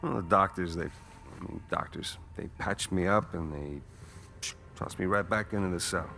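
An actor playing a weary, middle-aged American ex-convict in a video game, with a casual, wry, slangy delivery. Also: male voice, low voice, normal speed, gravelly, sharp tone, full character voice. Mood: weary, earnest. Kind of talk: naturalistic cinematic game acting.

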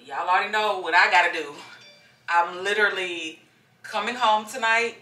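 A woman's voice: mid-range voice, moderate pace, pushed and bright, low-quality recording. A young adult woman, chatting casually and gushingly to the camera in a travel vlog. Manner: gushing, chatty, addresses viewers as y'all. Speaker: travel vlogger.

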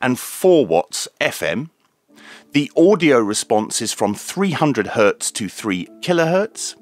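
A man presenting a scripted radio review in a deadpan, tongue-in-cheek manner. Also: medium pitch, slow, no echo. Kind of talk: scripted product review narration with dry jokes.

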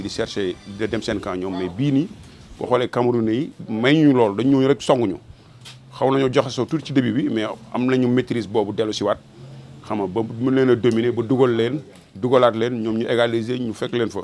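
Young male fan, mid pitch, earnest, indoors on a handheld mic. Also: a little mellow. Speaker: football fan interviewed. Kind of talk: street interview. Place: small shop indoors.

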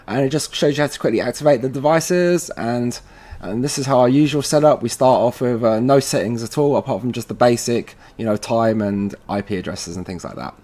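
A man presenting an informal software tutorial, casually thinking aloud as he goes step by step. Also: medium pitch, fairly quick, hardly any pauses. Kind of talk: informal screen-recorded software walkthrough. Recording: noisy room with keyboard typing.

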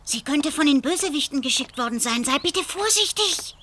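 A woman's voice: high voice, lively intonation, moderate pace, pushed and bright, a little hiss or hum. A woman voicing a cautious, bossy female cat companion in an anime audio drama. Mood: worried, cautioning.

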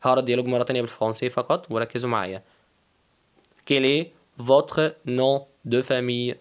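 A man with an Egyptian Arabic accent teaches French, carefully repeating each phrase and spelling names letter by letter. His pitch sits in a middle range for a man. The recording sounds somewhat dull and lo-fi. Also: flat delivery, rapid-fire, smooth. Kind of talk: language lesson, French with Arabic explanations.

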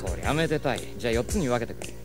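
An actor playing a cool, decisive young detective in a radio drama, deadpan and terse. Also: male voice, medium pitch, racing, music in the background, natural voice. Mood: dry, decisive. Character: cool, dry, decisive young male detective type leading friends.

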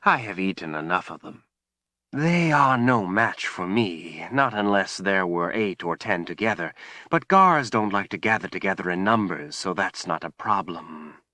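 A man's voice: low voice, lively intonation, moderate pace, bright, forceful tone, dry recording. Man voicing a scornful, hissing female dragon. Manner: hissing, spitting contempt.

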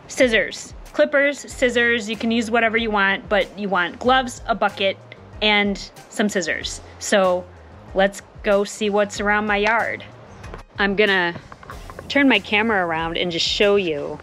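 An enthusiastic woman in her thirties talks straight into a phone held at arm's length on an open porch. She is chatty and warm-hearted in her phrasing, doubling up words like "really, really" for emphasis. Her pitch sits mid-range, and soft music plays faintly underneath. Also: unhurried. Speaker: homesteading vlogger. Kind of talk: casual vlog talk to camera. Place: covered back porch of a house, outdoors. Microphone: phone camera at arm's length.

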